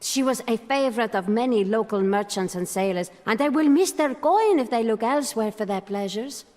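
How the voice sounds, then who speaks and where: An actress playing a haughty, calculating brothel madam in a fantasy video game, in a theatrical manner. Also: female voice, a little high, expressive, moderate pace, darkish tone, close to an everyday voice. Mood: regretful, haughty. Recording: long hall reverb.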